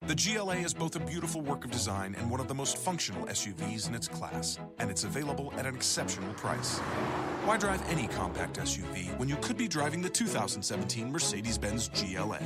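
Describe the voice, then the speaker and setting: A man reading a scripted car commercial in a polished, smooth, persuasive way. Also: medium pitch. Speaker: ad announcer.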